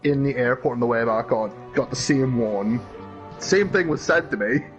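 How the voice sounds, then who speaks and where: Halting man on a muffled mic. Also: medium pitch, faint music behind. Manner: halting, rambling anecdote with restarts. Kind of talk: casual online chat.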